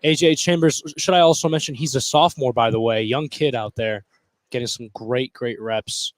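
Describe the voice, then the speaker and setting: A man's voice: mid-range voice, normal speed, no room sound. A young man giving casual color commentary on a live basketball broadcast. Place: courtside in a high school gym.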